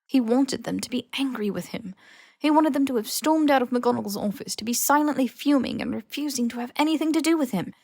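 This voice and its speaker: A woman reading fan fiction as expressive scripted narration, with emotive, dramatic phrasing. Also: high-pitched, fairly quick, gravelly, no echo, studio quality. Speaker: podfic reader.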